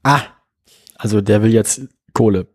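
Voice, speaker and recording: Male podcast co-host, husky edge, chatty with ironic quick comebacks. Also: on the low side, a little mellow. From not from Berlin. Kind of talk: casual news chat.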